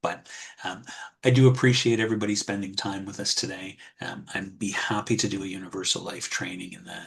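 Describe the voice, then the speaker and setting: A man giving an online presentation as an insurance wholesaler, calm and measured, often pausing with ums. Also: sits low, a little hiss or hum. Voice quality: husky, soft. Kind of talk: webinar presentation with screen share.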